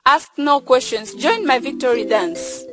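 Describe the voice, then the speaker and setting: A woman's voice: high voice, animated, unhurried. A young woman acting a gleeful, scornful senior wife of a village chief in a stage play, bold and declamatory. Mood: triumphant. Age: young adult. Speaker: student actor. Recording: faint music behind the voice.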